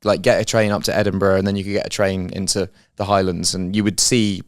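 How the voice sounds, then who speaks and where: A man's voice: deep voice, level tone, quick pace, no echo, studio quality. A man from England with an English accent, chatting casually as a guest and rambling with frequent restarts. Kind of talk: casual chat.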